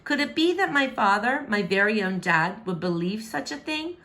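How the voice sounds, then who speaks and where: A woman's voice: medium pitch, lively intonation, normal speed, a bit bright. A woman voicing a stubborn, earnest young princess in a children's verse storybook, with theatrical, exclamatory delivery. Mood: hurt, indignant.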